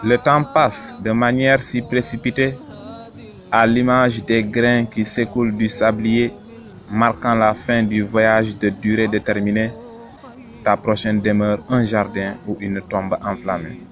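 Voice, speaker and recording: A man with a fairly low voice recites devotional verse in a solemn, measured way. His delivery is earnest and exhorting, shaped like scripted rhyming poetry. Faint music sits behind the voice. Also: flat delivery.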